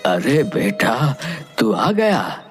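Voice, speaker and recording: A man voicing a sick, kindly old grandfather in a cartoon, with a weak, coughing voice and slow, affectionate, advising delivery. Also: mid-range voice, big pitch swings, faint music behind. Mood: tender, weary. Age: older. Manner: affectionate, unhurried, gently advising.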